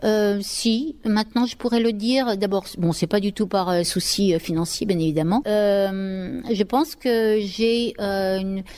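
A woman's voice: medium pitch, steady tone, unhurried, few pauses, a little mellow. Middle-aged woman, interview guest, reflective, hesitant, spontaneous phrasing, mid-range voice. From Paris, France. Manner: hesitant, searching for words, reflective. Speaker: interview guest, children's songwriter.

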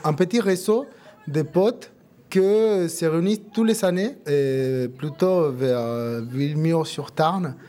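A man's voice: fairly high pitch, takes it slowly, warm tone, faint music behind. A man from Argentina with an Argentine Spanish accent, a native Spanish speaker, answering informally in a casual interview and often repeating words. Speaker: interviewee, musician and café co-founder.

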